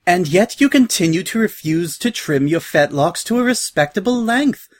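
Man playing a high-pitched, prim, haughty lady pony. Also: sing-song, normal speed, breathy edge, dry recording, clean studio sound. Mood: disdainful. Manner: polite, disdainful. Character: prim, haughty, refined female pony in disguise.

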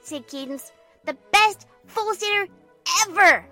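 A woman voicing a giggly, excitable little girl who tells a storybook tale of her play with childlike glee. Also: extremely high pitch, measured pace, soft background music, pitched above the performer's own voice, exaggerated voice. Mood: gleeful, fond. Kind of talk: storybook narration in character.

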